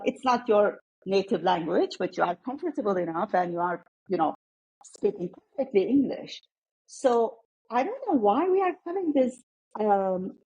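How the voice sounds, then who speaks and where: A woman's voice: mid-range voice, vocal fry, a little mellow, slightly rough, lo-fi sound. A middle-aged woman with a Turkish accent, answering as a guest in a conversational interview. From Turkey. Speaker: podcast guest, coach.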